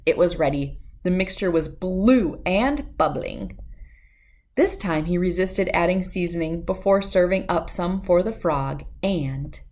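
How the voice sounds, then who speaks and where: A woman reading a children's story aloud as narrator, with expressive, dramatic and deliberate phrasing. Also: fairly low pitch.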